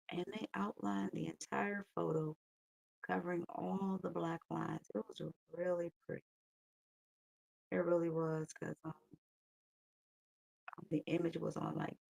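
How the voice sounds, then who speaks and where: Raspy, gravelly woman still waking up. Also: fairly low pitch, fairly even intonation, dry recording, low-quality recording. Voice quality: raspy morning voice. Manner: soft, unhurried, thinking aloud with fillers. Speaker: coloring channel host. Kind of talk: casual early-morning coloring chat.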